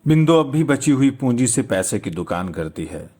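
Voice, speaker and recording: A male storyteller narrates literary fiction in a dramatic style. His mid-pitched voice moves widely up and down. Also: very animated, moderate pace, dark tone.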